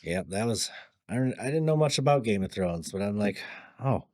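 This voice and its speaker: A playful man on a podcast with a mid-pitched voice that moves a lot in pitch. The recording is dry and close. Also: animated, moderate pace, darkish tone, dry recording. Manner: playful, quotes scenes in goofy voices. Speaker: podcast co-host. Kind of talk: casual chat.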